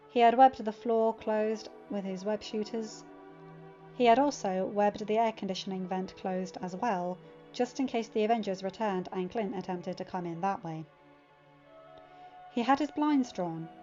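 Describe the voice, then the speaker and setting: A woman's voice: medium pitch, dark tone. A woman reading a scripted story aloud as an audiobook narrator. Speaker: fan fiction reader. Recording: dull lo-fi recording, music underneath. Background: background music.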